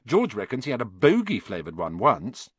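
A man's voice: medium pitch, huge pitch swings, normal speed, husky, dry recording. A man voicing a cheeky, blunt young schoolboy in a children's audiobook, with an offhand, emphatic way of talking. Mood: warning.

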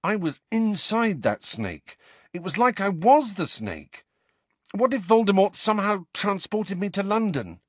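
A man's voice: high voice, very animated, a little slow, no echo, voice pushed up, exaggerated voice. A man voicing a moody, prickly, defensive teenage boy in an audiobook, with a stiff, snappish manner. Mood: anxious.

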